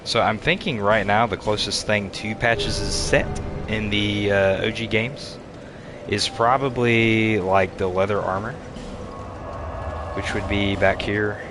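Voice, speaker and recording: A man's voice: fairly low pitch, takes it slowly, over music. A man in his thirties casually commentating his gameplay, chatting with viewers and laughing often. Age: 30s. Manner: laid-back, chats with viewers and laughs often. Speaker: streamer. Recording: steady background noise.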